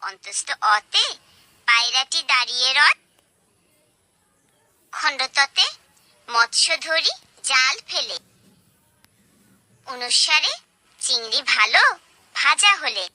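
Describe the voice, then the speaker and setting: A woman reciting children's alphabet rhymes in a singsong way, enunciating each phrase deliberately as a presenter for small children. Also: high-pitched, lively intonation, pushed and bright, no echo.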